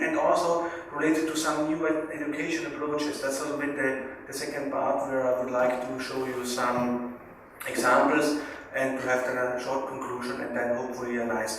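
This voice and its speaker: A man in his forties from northern Italy, a native German speaker with a South Tyrolean German accent, gives a seminar presentation in English, haltingly searching for words and checking with his listeners. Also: mid-range voice, fairly bright tone. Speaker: guest speaker, business consultant. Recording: echoey hall, distant mic, faint noise.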